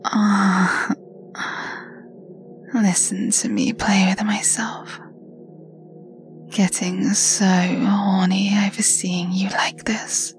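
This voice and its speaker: Woman, slow teasing whisperer for intimate roleplay audio, close-miked, breathy, with faint music behind. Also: medium pitch, level tone. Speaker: erotic audio performer. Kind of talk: scripted intimate roleplay monologue.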